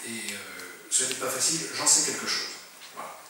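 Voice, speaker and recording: A middle-aged man gives a lecture as a military officer, hesitating often and restarting his phrases. He is heard from a distance in a very echoey room with faint ambient noise. His voice sits at an ordinary pitch. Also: animated, moderate pace. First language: French. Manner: hesitant, many filler sounds and restarts. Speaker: military officer giving a talk. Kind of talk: lecture presentation. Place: conference room. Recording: very echoey room, mic far off.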